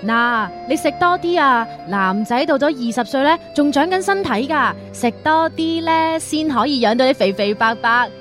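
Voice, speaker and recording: An actress playing a perky, pushy young university student in love in a radio drama, chatty and coquettish. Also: female voice, sits high, lively intonation, normal speed, few pauses, music in the background. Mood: doting, bossy.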